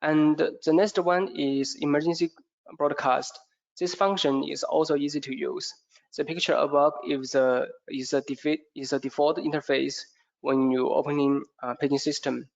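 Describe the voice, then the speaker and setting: A man giving a product training presentation in a hesitant, halting way, often repeating words, with a creaky voice. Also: on the high side, steady tone. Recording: dull, muffled lo-fi audio.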